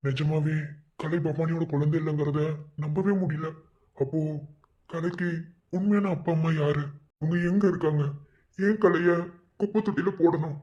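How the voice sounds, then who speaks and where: A man's voice: fairly high pitch, steady tone, moderate pace, pauses often, warm tone, highs cut off, close to an everyday voice. Concerned young husband played by a man. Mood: pensive. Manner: chiding, then soothing. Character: concerned young husband and father, exasperated but reassuring. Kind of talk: melodramatic family serial acting.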